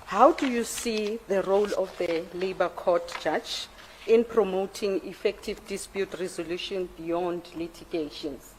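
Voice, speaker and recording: A woman serving as a panel commissioner, putting formal questions in a deliberate, courteous way. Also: on the low side, darkish tone, rough recording. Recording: faint background room noise.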